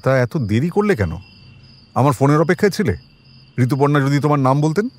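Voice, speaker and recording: An actor in an audio drama playing a composed police detective who questions people politely but with quiet suspicion. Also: male voice, a little high, expressive, a little fast. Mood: probing, composed.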